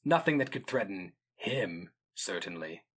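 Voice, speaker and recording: A man's voice: low voice, very animated, a little slow, a bit bright. Grave, theatrical male fantasy narrator. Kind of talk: dramatic audiobook reading of epic fantasy.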